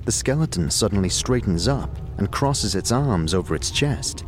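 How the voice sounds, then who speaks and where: A man's voice: lowish voice, lively intonation, normal speed, faint music behind. A man narrating a scripted fantasy audio drama in a dramatic storytelling manner.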